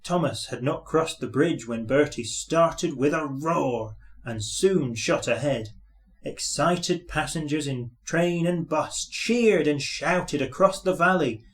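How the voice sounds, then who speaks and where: A man's voice: a little high, slow. A man reading a children's story aloud as scripted narration.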